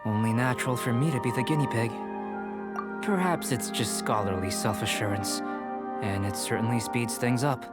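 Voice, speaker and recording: Man playing a calm scholarly alchemist, music behind. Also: medium pitch, lightly acted. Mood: reflective. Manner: measured, analytical, composed. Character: calm, scholarly male alchemist, coolly self-assured. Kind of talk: video game character acting.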